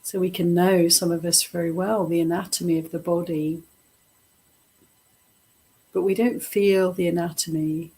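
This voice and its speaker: A woman leading a guided meditation as a teacher, speaking gently in short, unhurried phrases with pauses between them. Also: lowish voice, little pitch movement, slightly rough, poor audio. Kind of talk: guided meditation, softly instructive.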